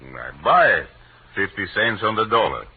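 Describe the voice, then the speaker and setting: An actor playing a shady, defensive gambling racketeer with clipped broken grammar, in an old radio crime drama. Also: male voice, on the low side, sing-song, moderate pace, husky, full character voice. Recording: muffled, tinny old radio broadcast.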